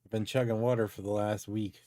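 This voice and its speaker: A man's voice: fairly low pitch, flat delivery, brisk, dark tone, dry recording, clean studio sound. A man co-hosting a livestream, chatting casually with his partner and the viewers. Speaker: livestream co-host. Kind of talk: casual chat.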